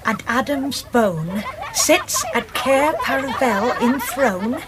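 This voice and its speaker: Slow high-voiced prophecy reciter, noisy ambience. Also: female voice, animated. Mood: joyful, solemn. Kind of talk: radio drama verse recital.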